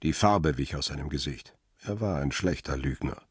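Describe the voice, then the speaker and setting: An actor playing a cool, hard-boiled first-person narrator in a radio crime drama, with a deep husky voice and terse, matter-of-fact delivery. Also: male voice, normal speed, no echo, clean studio sound, exaggerated voice.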